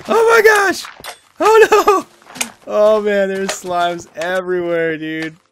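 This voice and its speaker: A man hosting a casual let's play, excitable and jokey, yelling at game events. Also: high-pitched, slow, a little hiss or hum. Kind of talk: casual gameplay chat. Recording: loud game music behind voice.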